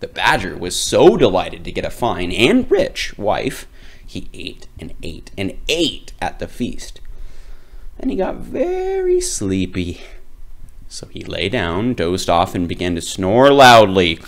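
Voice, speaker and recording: A man tells a children's story in an expressive read-aloud style. He goes slowly, stretches key words for drama, and lets his pitch swing very widely. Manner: dramatic, drawn-out emphasis.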